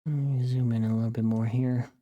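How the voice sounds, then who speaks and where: A streamer of mid-range pitch chatting casually and muttering to themselves while working, often repeating words. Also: level tone, fairly quick, dark tone, muffled recording.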